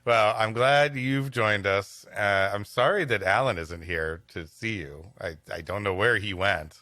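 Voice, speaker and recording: A man's voice: on the low side, unhurried, bright, forceful tone, no echo, studio quality. A man hosting a comedy podcast with casual, playful banter. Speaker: podcast host.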